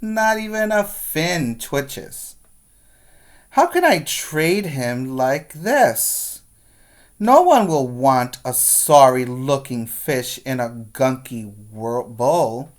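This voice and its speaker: A man working as a preschool teacher, reading a picture book aloud to young children with expressive emphasis and asides. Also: mid-range voice, drawn-out words.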